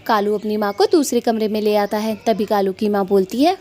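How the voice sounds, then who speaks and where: A woman's voice: mid-range voice, brisk, a little mellow, slight background noise. Plain woman storybook narrator.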